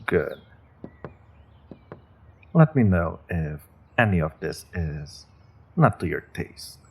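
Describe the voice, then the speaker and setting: Man playing a deep, gravelly evil sorcerer. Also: big pitch swings, heavily acted. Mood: polite menace. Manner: measured, polite, veiled threats, deliberate pauses. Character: menacing, courteous evil sorcerer captor, a man. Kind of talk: scripted fantasy audio roleplay.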